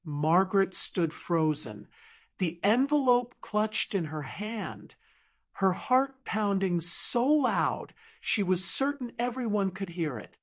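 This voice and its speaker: A woman's voice: mid-range voice, animated, slow. A woman reading scripted dramatic fiction as an audiobook narrator.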